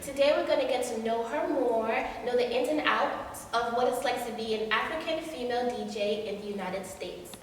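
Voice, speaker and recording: A woman's voice: on the high side, normal speed, heavy reverb, long reverb tail, recorded from a distance, slight background noise. A woman co-hosting an interview show, asking playful, teasing questions. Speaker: co-host. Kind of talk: casual interview chat.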